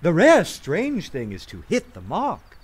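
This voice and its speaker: An actor playing an earnest, respectable poet of law and order in a radio play, speaking with literary rhetoric. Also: male voice, on the high side, huge pitch swings, breathy edge, exaggerated voice. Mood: fervent debate. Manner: measured when narrating, fervent and rhetorical in debate. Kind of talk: radio drama narration and acting. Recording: music bed under the voice.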